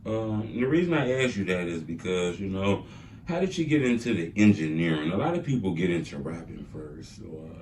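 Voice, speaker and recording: A man with a very low, deep voice hosts a street interview. He talks in a laid-back, slangy way, asking questions and dropping quick affirmations. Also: animated, a little mellow, a little hiss or hum. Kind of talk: casual interview.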